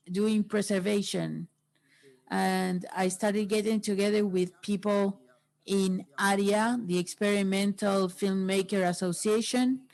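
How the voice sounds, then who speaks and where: Slow, halting woman interpreting. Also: mid-range voice, gravelly, a little mellow.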